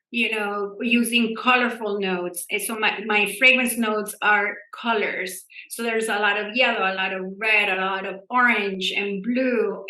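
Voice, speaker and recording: A woman perfumer talks on a panel, upbeat and expressive. Her voice is bright and forward, and it carries a roomy echo. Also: medium pitch. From Colombia. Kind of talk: panel discussion answer.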